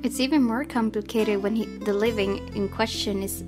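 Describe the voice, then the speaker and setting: A woman's voice: medium pitch, fairly even intonation, moderate pace. A woman reading a visual novel's narration aloud as a let's play host. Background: guitar music from the game.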